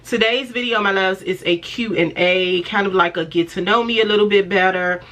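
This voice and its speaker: A woman in her forties talks straight to her viewers in an easy, chatty vlog manner, calling them by pet names and laughing often between thoughts. Her voice is a little raspy and stuffy after an illness, and her pitch sits in a middle range. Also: normal speed, fairly bright tone. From Washington DC area. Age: 40s. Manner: chatty, affectionate, many fillers, laughs often. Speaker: fashion vlogger. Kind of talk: casual talk to camera.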